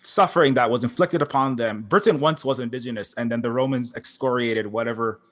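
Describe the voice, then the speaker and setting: Man, conference panelist, game developer, impassioned, halting, many restarts, medium pitch. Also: a bit bright. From Hawaii. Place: large concert hall.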